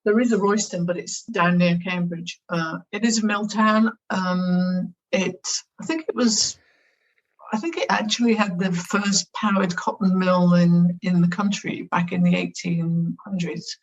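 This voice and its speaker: A woman with a Northern English accent and a fairly low voice answers interview questions. She speaks thoughtfully, pausing on fillers and restarting her sentences. The sound is dull and lo-fi, like a remote call. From England, near Manchester. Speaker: guest. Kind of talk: conversational interview.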